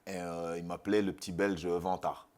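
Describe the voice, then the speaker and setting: A man from Congo, a fighter and actor, telling his story with confident, animated energy in an interview. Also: sits low, normal speed. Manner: confident, animated, self-assured storytelling with frequent repeats. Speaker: MMA fighter and actor, guest.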